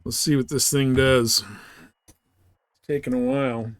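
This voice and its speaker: A man's voice: mid-range voice, fairly even intonation, unhurried. A man chatting casually and informally on a live game stream, rambling through his explanations.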